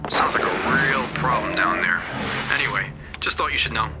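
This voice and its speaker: An actor playing an easygoing power company worker in a video game, reporting in a casual, slightly hesitant, matter-of-fact way. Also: male voice, fairly low pitch, animated, normal speed, natural voice. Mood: casual, helpful. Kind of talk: video game cutscene acting. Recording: very loud music under the voice.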